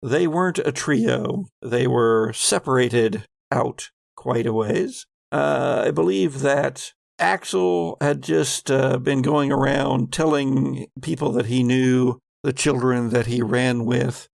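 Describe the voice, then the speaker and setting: A man speaks as a game master, theatrically recounting a horror story. His delivery is slow and deliberate, with a gravelly edge, and the recording is close and dry. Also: medium pitch, no echo. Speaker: game master and podcast host. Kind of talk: dramatic recap narration of a tabletop horror game.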